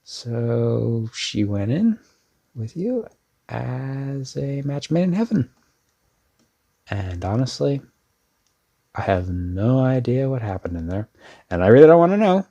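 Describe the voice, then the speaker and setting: A man playing a sincere, protective brother in an intimate ASMR roleplay, speaking hesitantly and earnestly with a creaky voice. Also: mid-range voice, very animated, warm tone, muffled recording, exaggerated voice. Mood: sincere, grateful. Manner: hesitant, earnest, with trailing pauses. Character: protective older brother, a laid-back but sincere young man. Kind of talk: ASMR audio roleplay, intimate one-sided dialogue.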